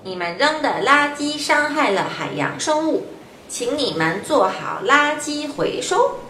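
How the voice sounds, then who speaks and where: Bright, high-voiced young girl. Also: very animated, unhurried, reverberant, a little hiss or hum, exaggerated voice. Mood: earnest. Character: Jill, bright young girl playing on the beach. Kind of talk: children's radio drama acting.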